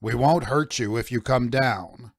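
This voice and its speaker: A man voicing a burly, wary sailor first mate with a gruff, snarling manner for an audiobook. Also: mid-range voice, measured pace, no echo, studio quality. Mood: coaxing menace. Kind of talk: audiobook character voice.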